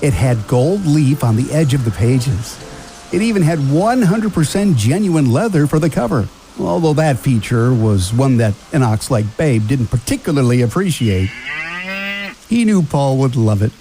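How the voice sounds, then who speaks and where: A man's voice: mid-range voice, lively intonation, moderate pace, mellow, slight background noise, low-quality recording. A man narrating a scripted family radio drama in a storybook style.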